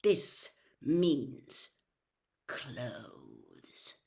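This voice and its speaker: A woman voicing a stern, pitiless male master in a storybook, with a cold, clipped, deliberate delivery. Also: extremely low pitch, big pitch swings, vocal fry, darkish tone, exaggerated voice. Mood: cold anger.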